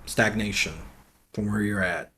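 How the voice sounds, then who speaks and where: A man's voice: fairly low pitch, steady tone, a little slow, bright, forceful tone, husky. A man talking casually as a podcast guest, blunt and outspoken, rambling with frequent restarts. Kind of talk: casual conversation.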